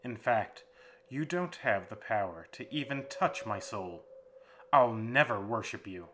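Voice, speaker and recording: A man's voice: lowish voice, measured pace, lightly acted. A man voicing a defiant tortured captive. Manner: desperate, then firmly defiant. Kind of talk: dramatic audiobook reading. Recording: steady background hiss.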